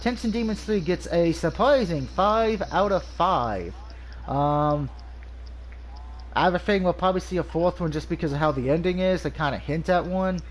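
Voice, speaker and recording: A man with a slightly high voice talks through a video game review in a loose, rambling way. He sounds nasal and stuffed up from allergies, pausing often on "um" and restarting his sentences. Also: a little hiss or hum. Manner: rambling, frequent ums and restarts. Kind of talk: casual game review over gameplay.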